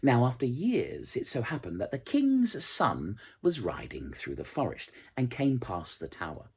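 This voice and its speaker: Very expressive, unhurried storyteller with husky voice. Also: mid-range voice. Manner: expressive, dramatic, measured. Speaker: storyteller reading fairy tales aloud. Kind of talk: scripted storybook narration.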